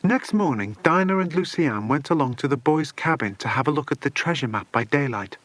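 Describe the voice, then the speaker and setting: A man narrating a children's adventure audiobook in an expressive scripted reading. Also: fairly high pitch, fairly quick, no echo.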